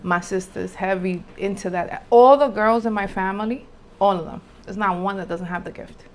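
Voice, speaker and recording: A woman's voice: mid-range voice, normal speed, a little hiss or hum. A woman from the Republic, telling personal stories casually and emphatically, mixing in Spanish. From Dominican Republic, later New York City. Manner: informal, emphatic repetition, mixes in Spanish. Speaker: podcast guest, spiritual entrepreneur. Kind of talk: candid personal storytelling, casual.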